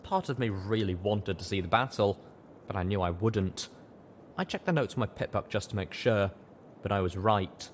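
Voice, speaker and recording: A man's voice: lowish voice, normal speed, slight background noise. A man reading a first-person story as scripted audiobook narration. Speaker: audiobook reader.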